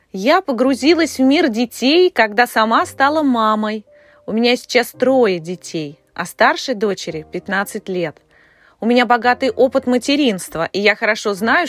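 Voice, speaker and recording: A woman in her forties hosting a podcast, speaking in a friendly way straight to her listeners and drawing out the words she wants to stress. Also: on the high side. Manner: expressive, lingering on key words. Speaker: podcast host, children's author. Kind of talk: friendly direct address to listeners.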